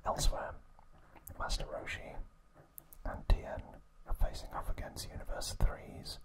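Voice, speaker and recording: An ASMR host whispering softly and gently in a breathy, unvoiced voice, recapping trivia in an unhurried way. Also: low-quality recording. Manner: soft, gentle, unhurried storytelling.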